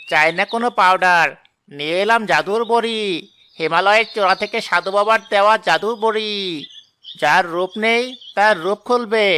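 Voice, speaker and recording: A man voicing a smooth-talking travelling peddler in a cartoon moral story, with boastful, flattering sales patter. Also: high voice, a little hiss or hum. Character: smooth-talking travelling peddler hawking magic beauty pills. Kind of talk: animated moral-story drama acting.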